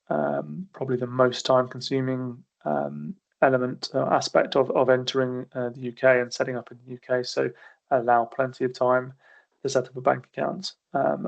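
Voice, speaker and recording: A man's voice: mid-range voice, vocal fry, dull audio. A man presenting a business webinar as an accountancy partner, methodically explaining with frequent small restarts while losing his voice. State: losing his voice, occasional coughs.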